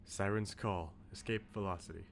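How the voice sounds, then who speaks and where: A man's voice: low-pitched, fairly even intonation, normal speed, vocal fry, slight background noise. Man hosting a casual game livestream. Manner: chatty, talks to viewers, rambling asides. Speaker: streamer, video game host. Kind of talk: casual livestream chat with on-screen text read aloud.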